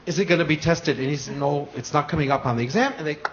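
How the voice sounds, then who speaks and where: A man's voice: a little high, brisk. A man, a lecturer in the audience, earnestly putting a long question to the panel with many restarts. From United States. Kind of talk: question from the floor. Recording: muffled broadcast with faint hiss.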